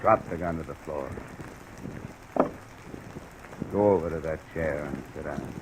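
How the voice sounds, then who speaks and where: An actor playing a world-weary, wry insurance investigator in an old radio detective drama, terse and hard-boiled. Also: male voice, a bass voice, brisk. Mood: firm, subdued. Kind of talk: 1950s radio detective acting and narration. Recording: dull, hissy old radio broadcast.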